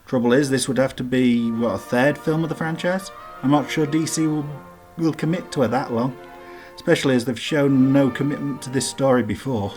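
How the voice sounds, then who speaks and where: A man's voice: medium pitch, a little fast, darkish tone. A man giving informal scripted narration as the host of a countdown list video. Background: background music.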